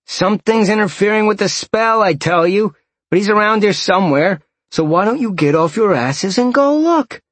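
A man's voice: sits high, normal speed, few pauses, dry recording, pitched above the performer's own voice. A man voicing an irritable, defensive spell-tracking henchman with a snappish manner in an audiobook story.

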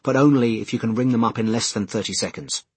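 A man's voice: medium pitch, fast talker, breathy edge, dry recording, close to an everyday voice. A man voicing a harried, exasperated man in an audiobook, speaking urgently and insistently. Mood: curt, rushed. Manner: emphatic, insistent. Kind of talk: audiobook character dialogue.

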